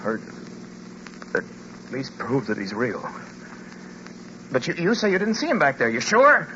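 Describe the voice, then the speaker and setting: A haunted, stammering driver in an old radio drama, his voice lurching from halting unease to panicked shouts. The broadcast is dull and muffled, with a clear hiss running underneath. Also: mid-range voice, wildly swooping pitch, exaggerated voice. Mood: panicked, pleading. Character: jittery haunted male driver, adult man. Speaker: driver and first-person narrator. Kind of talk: 1940s radio drama acting.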